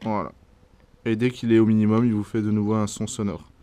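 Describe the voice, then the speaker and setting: A man's voice: on the low side, level tone, fairly quick, warm tone, clean tone, no room sound. A man giving an informal, hesitant, hands-on product review in casual slangy speech.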